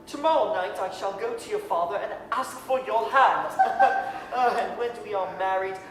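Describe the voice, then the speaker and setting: A woman's voice: mid-range voice, normal speed, lightly acted. A woman playing an earnest, ambitious young businessman and suitor in a 1930s radio-style stage reading. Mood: ardent. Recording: very echoey hall, distant, faint noise.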